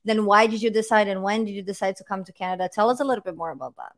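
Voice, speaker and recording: A woman's voice: medium pitch, racing, creaky. A woman hosting a podcast, asking long rambling questions in a chatty way and saying "like" often. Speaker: podcast host. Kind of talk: interview questions, casual chat.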